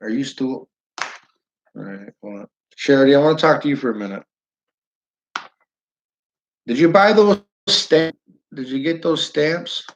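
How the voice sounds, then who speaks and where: A man's voice: medium pitch, a little slow, long pauses. A man with a northern New York accent hosting a casual livestream, rambling, restarting sentences and talking to viewers by name. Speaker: livestream host. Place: his downtown building.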